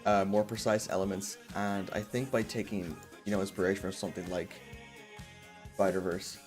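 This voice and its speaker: A man's voice: deep voice, level tone, normal speed, a little mellow, soft background music. A man streaming in a casual, rambling way, thinking aloud and putting himself down. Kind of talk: casual livestream chat.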